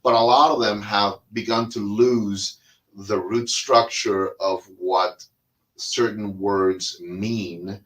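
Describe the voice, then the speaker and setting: A middle-aged Cuban-born man with a fairly low voice talks about cigars in an easygoing, rambling way. He speaks Spanish as his first language and fills his talk with "uh" and "you know" while he puffs on a cigar. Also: steady tone, slow pace, a bit bright, low-quality recording. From Cuba. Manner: rambling and chatty, with many fillers and restarts; smoking a cigar while talking. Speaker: cigar podcast host. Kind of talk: casual monologue.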